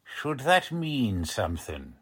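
An actor playing a gruff, sarcastic, tough police lieutenant with an American accent in 1940s radio style, blunt and slangy. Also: male voice, mid-range voice, wildly swooping pitch, slow, full character voice. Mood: gruff, brusque.

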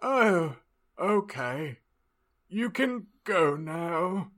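A man voicing a blustering, foul-mouthed, angry professor in a comic story reading, shouty and exaggerated. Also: high voice, animated, very slow, pitched above the performer's own voice, heavily acted. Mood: flustered.

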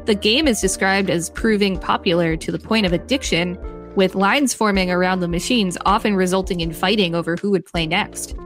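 A woman's voice: mid-range voice, moderate pace, soft background music. A woman co-hosting a podcast, reading an encyclopedia-style article aloud with light commentary. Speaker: podcast co-host.

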